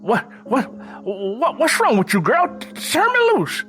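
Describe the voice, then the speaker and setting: Audio drama father, played by male narrator: agitated, brusque, stammering, pitched high with big swings, music underneath. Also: huge pitch swings, takes it slowly, bright, forceful tone, exaggerated voice. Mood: agitated, flustered.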